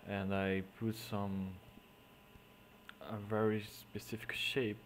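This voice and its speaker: A man with a Portuguese accent, a native Portuguese speaker, explaining digital painting step by step in halting English, often trailing off into quiet mutters as he works. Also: fairly low pitch, little pitch movement, a little hiss or hum. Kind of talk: screen-recorded painting tutorial.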